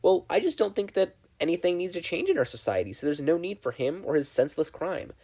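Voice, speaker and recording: A man's voice: a little high, sing-song, fast, darkish tone, voice pushed up. A man voicing a prim, self-assured teenage schoolgirl who reasons smugly in a story reading. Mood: smug, questioning. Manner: reasoning, smug, questioning.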